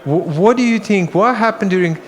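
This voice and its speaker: A man moderating a conference panel, talking with frequent restarts and fillers. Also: sits high. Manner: halting, many restarts. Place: conference hall.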